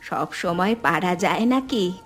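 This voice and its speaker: Cartoon grandmother, acted by a woman: elderly, patient village peacemaker, measured and gently scolding, music underneath. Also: fairly low pitch, lively intonation. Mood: consoling. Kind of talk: animated family drama dialogue.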